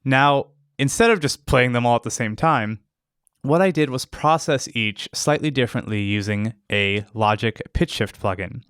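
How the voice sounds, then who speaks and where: Smooth, clear male tutorial host. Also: mid-range voice, lively intonation, moderate pace, fairly bright tone, no echo, clean studio sound. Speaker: tutorial host, sound designer. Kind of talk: instructional video narration, casual. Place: close, dry voice-over recording.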